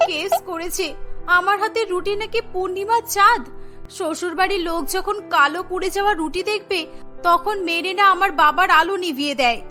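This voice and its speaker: A woman's voice: very high voice, a little fast, over music. A woman voicing an exasperated young new bride in a Bengali cartoon, complaining and scolding.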